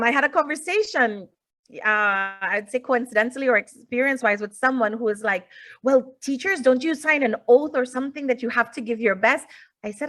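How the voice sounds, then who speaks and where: Female teacher-presenter, native English speaker, slightly high voice, informal explanatory lecture over a video call. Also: fairly bright tone. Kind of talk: informal online lecture, addressing audience. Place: indoors, online video call.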